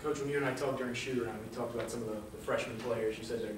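Man, press reporter, rapid-fire, heavily reverberant noisy sound. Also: mid-range voice, fairly even intonation. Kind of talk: press conference questioning. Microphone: off-camera, away from mic. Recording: very echoey, distant, noisy off-mic voice.

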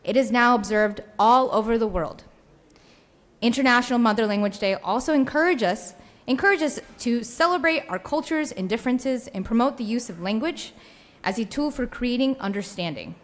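A woman's voice: on the high side, expressive, moderate pace. A young adult woman, a state senator, reading a prepared floor speech earnestly at a podium microphone. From United States. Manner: earnest, read from notes. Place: large legislative chamber. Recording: long hall reverb in chamber.

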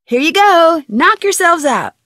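A woman playing an upbeat, curious young female detective in a video game, youthful, perky and earnest, with clear diction. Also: very high-pitched, big pitch swings, measured pace, bright, forceful tone, dry recording, studio quality, exaggerated voice. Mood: pleading, exasperated. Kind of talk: scripted video game voice acting, thinking aloud.

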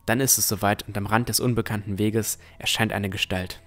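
A man as a low-voiced, cautious young explorer narrator. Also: steady tone, brisk, fairly bright tone, soft background music, close to an everyday voice. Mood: wary. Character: cautious, thoughtful young male explorer narrating his adventure. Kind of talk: first-person scripted narration in fantasy audio drama.

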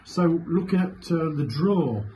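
A man interviewing after a football match, with wordy, measured questions and frequent agreeing interjections. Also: on the high side, animated, dark tone. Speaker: club interviewer. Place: small indoor club press room. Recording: noisy room ambience.

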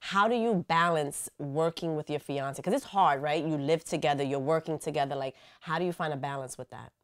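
Low-pitched, encouraging woman podcast host. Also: brisk, dry recording, studio quality. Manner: encouraging, affirming, conversational. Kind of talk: casual interview chat.

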